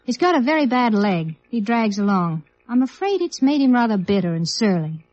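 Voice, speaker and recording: An actress playing a brisk, helpful American company office woman in 1950s radio drama style. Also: a little high, very animated, a little mellow. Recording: muffled old radio recording.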